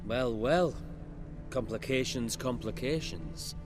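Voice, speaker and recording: An actor playing an opportunistic safecracker in a video game, measured and savouring his words. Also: medium pitch, lively intonation. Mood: wry. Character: smooth, opportunistic safecracker in a heist crew. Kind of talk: video game cutscene acting. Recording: faint music behind the voice.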